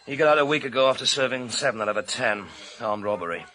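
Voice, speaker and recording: An actor playing a driven, blunt British police inspector in a radio thriller, with a brisk, commanding, slangy manner. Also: male voice, medium pitch, lively intonation, pushed and bright. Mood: businesslike. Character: driven, blunt male police inspector leading a murder hunt.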